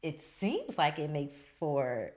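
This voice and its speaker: A woman in her thirties with a somewhat low voice talks casually as a podcast co-host. She thinks out loud and keeps asking probing questions. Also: sing-song, slow pace. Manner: thoughtful, asks probing questions. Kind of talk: casual chat.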